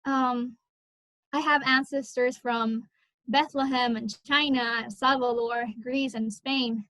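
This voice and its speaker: A woman who learned English as a second language, giving a personal speech in short, measured phrases with pauses at an online event. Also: a little high, fairly even intonation, a bit bright, no room sound, rough recording. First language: not English. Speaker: scholarship recipient. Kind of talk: personal speech about her life and goals.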